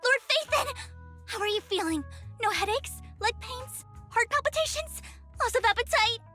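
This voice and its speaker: A devoted, dramatic young heroine speaks in breathy whispers and anxious, fussing questions. Her voice sits very high, and a game score plays clearly behind her. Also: expressive, moderate pace, pushed and bright, exaggerated voice. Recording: game cutscene with music behind.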